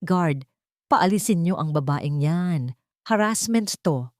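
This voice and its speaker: A woman's voice: on the low side, animated, takes it slowly, no room sound, clean studio sound. Woman voicing a scornful, gloating rich son. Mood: angry, commanding. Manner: insulting, commanding.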